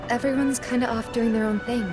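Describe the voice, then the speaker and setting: A woman playing a gentle, hesitant young friend in a video game. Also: a little high, fairly even intonation, brisk, over music, lightly acted. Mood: shy, nostalgic.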